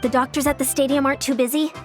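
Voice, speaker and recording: A woman playing a spirited, diligent young female coach in a video game, upbeat, energetic and earnest. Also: high-pitched, very fast, close to an everyday voice. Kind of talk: scripted video game voice acting. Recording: music behind the voice.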